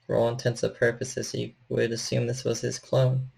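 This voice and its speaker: Male storyteller, gravelly vocal fry, medium pitch, measured descriptive narration, tabletop horror game master. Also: monotone, darkish tone.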